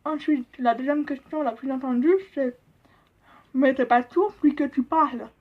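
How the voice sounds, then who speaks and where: A young deaf woman with a deaf-speech accent, explaining things in a casual vlog, halting with many pauses and fillers. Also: sits high, fairly even intonation. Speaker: vlogger. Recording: dull lo-fi sound.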